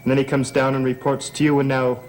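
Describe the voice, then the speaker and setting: A man's voice: medium pitch, completely flat delivery, fast talker, a little mellow, faint music behind, lightly acted. Sardonic, suspicious man played by an actor. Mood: sardonic, accusing. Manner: sarcastic, insinuating. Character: sardonic, suspicious man needling a woman for secrets. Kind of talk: gothic soap opera acting. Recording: old TV soundtrack, faint noise.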